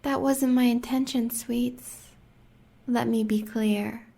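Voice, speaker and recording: An American woman playing a sadistic, sweetly menacing werewolf huntress in an audio roleplay, often slipping toward a breathy whisper. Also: fairly high pitch, steady tone, slow pace, mellow, exaggerated voice.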